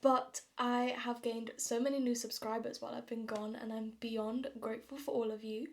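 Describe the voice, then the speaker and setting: A woman's voice: a little high, flat delivery, moderate pace, loud music behind the voice. A nineteen-year-old woman with a southern English accent chatting casually and self-deprecatingly to viewers in a vlog. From London, England. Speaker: vlogger. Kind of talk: casual get-ready-with-me chat to viewers.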